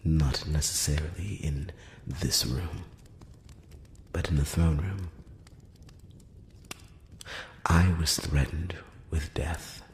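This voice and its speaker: A man acts out an ancient elven envoy in a whispered fantasy roleplay, with an extremely deep, soft voice. He speaks slowly and formally, with sly, courtly condescension. The close voice rings through a long, echoing hall reverb. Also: a little hiss or hum, exaggerated voice. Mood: wry, guarded. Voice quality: very low, soft, often slipping into whisper. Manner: slow, hushed, measured, with formal courteous phrasing. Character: ancient, courtly, slyly condescending male elf emissary. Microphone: close-up microphone.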